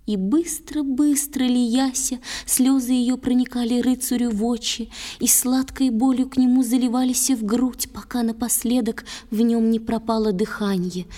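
A woman narrating a literary fairy tale in verse, in a soft, mournful, tender recitation. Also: fairly high pitch. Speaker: storyteller narrator.

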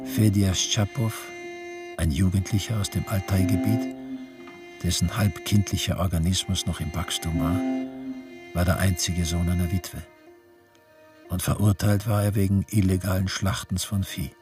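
An actor playing a grave camp prisoner bearing witness in a literary radio play narration. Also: male voice, low voice, level tone, normal speed, lightly acted. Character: male labour-camp prisoner, grave witness telling the story. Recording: music behind the voice. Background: instrumental music.

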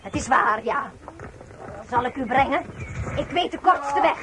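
Polite secretive wolf servant, highish voice, hissy old radio play. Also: female voice, animated, a little slow. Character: courteous talking wolf, loyal servant to brothers. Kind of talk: radio drama acting.

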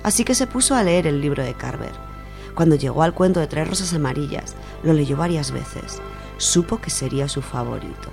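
A woman's voice: deep voice, lively intonation, moderate pace, creaky. A woman with an accent from Spain reading a literary short story aloud as a radio storyteller. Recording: music behind the voice.

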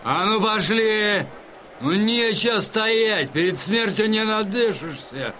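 A man's voice: high voice, animated, slow pace, noisy background. An actor playing a cruel, taunting male guard who drawls his orders in an audio drama. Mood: jeering. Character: cruel, jeering guard herding condemned prisoners.

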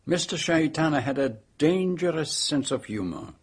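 Radio drama detective: French-accented, middle-aged, courteous and observant, slightly husky, formal and exact. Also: male voice, fairly high pitch, lively intonation, normal speed. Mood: grave, assured. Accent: French-speaking European. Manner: formal, precise phrasing. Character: polite, observant middle-aged male foreign detective, also narrating. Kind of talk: radio drama dialogue and narration.

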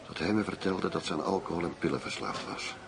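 Gravelly, deep undercover detective. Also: male voice, fast talker, a crowd in the background. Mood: subdued, confiding. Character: blunt, impatient middle-aged male undercover detective, world-weary. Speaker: actor in a radio play. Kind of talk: Dutch radio drama acting. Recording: radio play with clear background hum.

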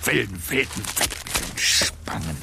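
An actor playing a bitter, proud old libertine in a literary radio drama, declaiming in a gravelly voice that moves between whispery asides and outcries. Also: male voice, on the low side, expressive, measured pace, very noisy, full character voice. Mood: agitated, defiant.

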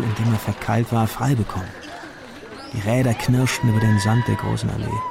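Wistful young storyteller, measured, gravelly edge, radio drama, music behind. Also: male voice, medium pitch. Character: young man narrating a wistful schoolboy adventure. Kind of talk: literary radio drama narration and acting.

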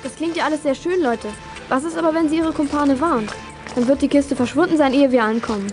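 Actress as girl sleuth, high voice, spirited, sceptical, radio play, faint music. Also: fast, a little mellow, natural voice. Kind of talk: 1980s German children's audio drama acting.

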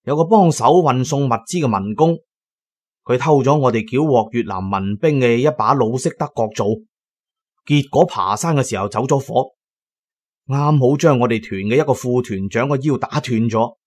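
A man narrating an adventure audio drama in a colloquial, expressive first-person style. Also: mid-range voice. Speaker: storyteller, narrator.

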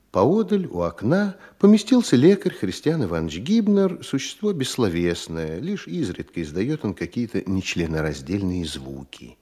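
Husky, dark-voiced male narrator. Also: low-pitched. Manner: measured, descriptive storytelling. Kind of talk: scripted narration of a radio play.

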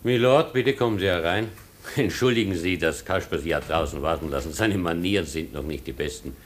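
Man acting a low-voiced, stern German baron. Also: a little fast, a little hiss or hum, close to an everyday voice. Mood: coldly polite. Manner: formal, dry, sardonic, disapproving. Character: stern, proud German baron, a strict guardian. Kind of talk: German radio play acting.

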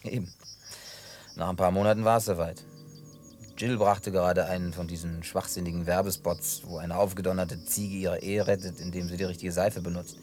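Low-voiced grumbling drifter played by a man. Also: little pitch movement, moderate pace, darkish tone, faint music behind, natural voice. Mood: wry, reminiscing. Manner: colloquial, grumbling storyteller. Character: gruff, hot-headed but harmless male drifter, former bar owner. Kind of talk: German radio play acting.

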